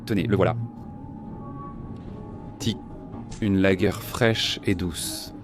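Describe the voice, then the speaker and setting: A man vlogging his travels, chatting with locals and thanking them often as he samples food and drink. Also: low voice. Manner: chatty, curious, quick to thank people. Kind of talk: casual travel vlog chat. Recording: music playing under the voice. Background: background music.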